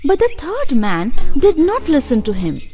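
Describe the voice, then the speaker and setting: A woman tells scripted fairy tales for children in a high voice. Her intonation is lively and varied, with soft music behind her. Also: moderate pace. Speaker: storyteller. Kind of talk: scripted children's story narration. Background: faint music.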